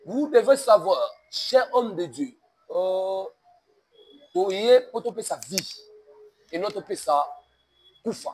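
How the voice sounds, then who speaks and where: A man's voice: high voice, lively intonation, slow, long pauses, darkish tone, a little hiss or hum, rough recording. A man from DR Congo with a Congolese French accent, speaking in an emphatic sermon-like cadence and mixing French with Lingala. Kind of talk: preaching-toned interview talk.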